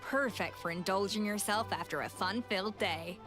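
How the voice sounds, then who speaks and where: A woman plays an exacting young head chef in a video game. The voice sits at a middle pitch, composed and assured, with precise refined phrasing and light music underneath. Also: normal speed, close to an everyday voice. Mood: confident.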